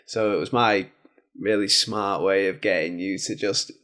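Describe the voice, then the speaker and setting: Relaxed Mancunian man hosting. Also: low-pitched, moderate pace. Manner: relaxed, jokey, colloquial. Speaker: podcast host. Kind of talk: casual interview conversation.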